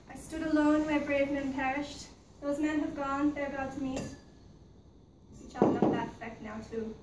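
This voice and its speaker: A woman playing a blunt, fierce young Irish woman. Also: high-pitched. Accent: put-on Irish. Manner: blunt, bossy. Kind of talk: amateur play reading. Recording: distant roomy recording with background noise.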